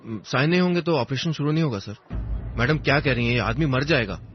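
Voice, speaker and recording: An urgent, harried man in a hospital emergency, acted out by a storyteller. His voice is pushed high and he barks short calls as in an audio drama. The sound is slightly dull, with music behind. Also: animated, fast talker, lightly acted. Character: harried, shouting male hospital staff and bystanders in an emergency.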